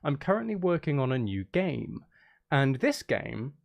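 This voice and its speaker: A man's voice: mid-range voice, very animated, a little slow, vocal fry, highs cut off. A man chatting casually to viewers on a livestream, rambling, repeating himself and breaking off mid-sentence in a jokey, self-deprecating way. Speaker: live streamer.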